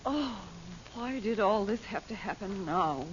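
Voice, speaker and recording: A woman's voice: mid-range voice, expressive, measured pace, natural voice. An actress playing an anxious, plaintive mother in an old-time radio drama. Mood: anguished, plaintive. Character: anxious, grieving mother longing for lost man. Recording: muffled, hissy old radio recording.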